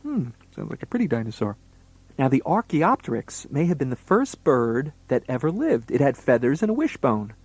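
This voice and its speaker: A man's voice: mid-range voice, sing-song, moderate pace, darkish tone, no room sound. A man working as a children's entertainer, playing the straight man in comedy banter and explaining things to young listeners.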